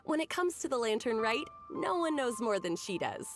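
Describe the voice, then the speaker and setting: A woman's voice: on the high side, big pitch swings, normal speed, soft background music. A woman playing a friendly, informative festival organiser in a fantasy video game, explaining things courteously. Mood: helpful.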